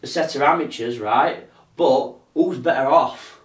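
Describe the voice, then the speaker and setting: A man's voice: medium pitch, measured pace, reverberant, far from the mic. A man hosting a casual interview, chatty and eager, often finishing the other speaker's sentences.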